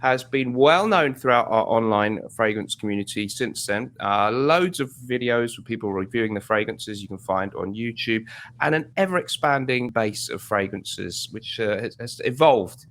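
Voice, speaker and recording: Uk guy hosting an interview over video call, chatty and upbeat, stumbles and restarts a lot, average pitch. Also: moderate pace, a bit bright. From United Kingdom. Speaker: host.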